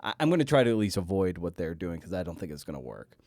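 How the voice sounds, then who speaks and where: Male interviewer, slightly low pitch, halting and conversational delivery, dry recording with no room sound. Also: sing-song, a little mellow, no echo. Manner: halting, feels way through questions with repeated words. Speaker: podcast host, interviewer. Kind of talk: casual interview questioning.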